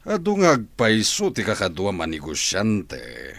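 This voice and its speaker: A man's voice: on the low side, wildly swooping pitch, unhurried, creaky, a bit bright, no echo, heavily acted. A man acting a proud, doting, well-off father in a radio drama. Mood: proud, fatherly.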